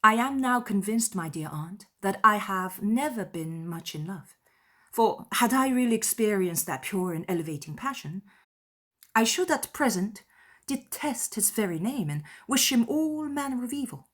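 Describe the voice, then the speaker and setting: A woman voicing a candid, self-possessed young gentlewoman in a period audiobook drama, articulate, spirited and formal, with a wry streak. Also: mid-range voice, lively intonation, moderate pace, close to an everyday voice. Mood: wry, assured. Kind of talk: dramatized period novel letter reading.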